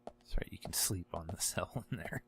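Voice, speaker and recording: Low, gravelly man commenting on a game. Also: normal speed, whispered. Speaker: gamer recording a playthrough. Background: video game music and audio.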